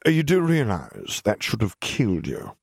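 A man voicing a haughty, menacing male stranger with a formal, measured manner, in an audiobook. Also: lowish voice, huge pitch swings, vocal fry, a little mellow, husky, no room sound, clean studio sound, pitched above the performer's own voice, full character voice. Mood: affronted, incredulous. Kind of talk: audiobook character voice.

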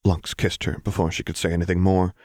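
Deep-voiced man narrating fiction, close and dry. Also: level tone, brisk, darkish tone, no room sound. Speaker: audiobook narrator.

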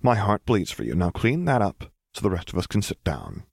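Guy doing a princess mom in an audiobook, brisk and wry, kinda firm. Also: lowish voice, huge pitch swings, gravelly, darkish tone, husky, no room sound, full character voice. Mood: stern. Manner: dry, firm, motherly.

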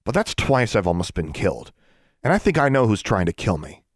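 A man voicing a headstrong schoolgirl witch who is quick to argue, in an audiobook. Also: fairly low pitch, very animated, no echo, studio quality. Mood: insistent. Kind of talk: audiobook character voice.

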